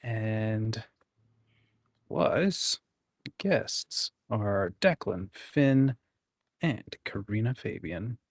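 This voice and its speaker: A man's voice: lowish voice, lively intonation, very slow, pauses often, no room sound, clean studio sound. A man hosting a writing livestream in a casual, informal, rambling and enthusiastic way. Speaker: host of a writing community livestream.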